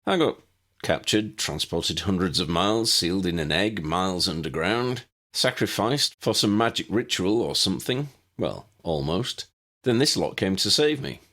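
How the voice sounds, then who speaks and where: A wry, weary young male hero in a fantasy audiobook, voiced by a man. He talks plainly, with lively swings in pitch. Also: moderate pace. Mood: wry recounting. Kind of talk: audiobook character dialogue.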